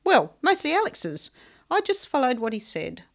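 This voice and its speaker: Self-justifying female aide, played by a woman: higher, lively, chattering. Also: normal speed, gravelly, heavily acted. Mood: flustered. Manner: backtracking, chattering.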